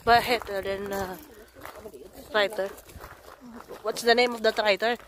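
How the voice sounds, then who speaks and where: A woman's voice: medium pitch, steady tone, a little slow, fairly bright tone, slight background noise. A woman from the Philippines, feeling sick, chatting casually on a travel vlog, hunting for words and mixing languages. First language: Tagalog. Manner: chatty, switches between English, Tagalog and Swedish, searches for words. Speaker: vlogger. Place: outdoors at a rural estate.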